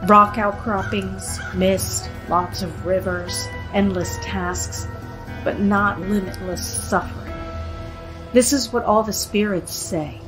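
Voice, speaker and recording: A woman playing a self-assured, theatrical teenage séance leader with a husky, solemn delivery in an audio drama. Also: mid-range voice, fairly even intonation, takes it slowly, over music, natural voice, poor audio. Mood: knowing.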